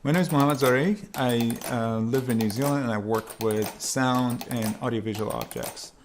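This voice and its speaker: A man from Iran, a sound artist and lecturer, giving an informal artist talk with hesitant pauses mid-phrase. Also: mid-range voice, noisy background.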